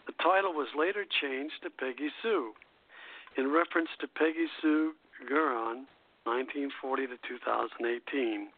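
A man hosting a radio show, reading prepared history notes aloud in a halting way and stumbling over words. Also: mid-range voice. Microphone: phone call-in line. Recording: tinny phone line.

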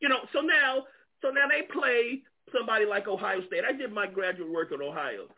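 A man with a New York accent talking in a halting, animated way, restarting often, as a guest in a casual political discussion over a call. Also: high voice. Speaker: guest commentator. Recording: tinny phone-like call audio.

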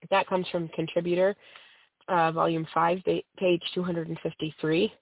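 A host with a cold, half-losing their voice, reads a religious text aloud with small stumbles and fillers. The voice has a gravelly, frayed edge. The sound is tinny and dry, like a phone line. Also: medium pitch, flat delivery, normal speed, dry recording. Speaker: host reading aloud on a call-in program.

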